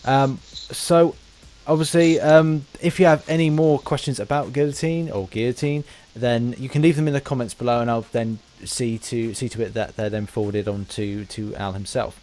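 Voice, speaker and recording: Man, medium pitch, podcast interviewer, casual, halting phrasing. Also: steady tone, a little mellow, faint music behind.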